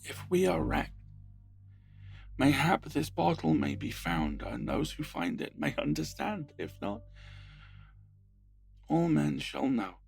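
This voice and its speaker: A man playing a weary, fearful but dutiful ship's captain in an audio drama, grave and halting as if recording a log. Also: on the high side, big pitch swings, gravelly, faint music behind, heavily acted. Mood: anguished resolve. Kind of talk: audio drama acting, reading a logbook.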